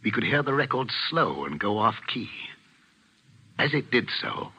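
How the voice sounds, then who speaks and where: An actor playing a middle-aged English village vicar in a radio mystery, speaking with measured, commanding earnestness in an English accent. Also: male voice, mid-range voice, very animated, pushed and bright, breathy edge, lo-fi sound, heavily acted. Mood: grave, intense. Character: grave, authoritative English village vicar, a father. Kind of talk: 1940s radio mystery acting.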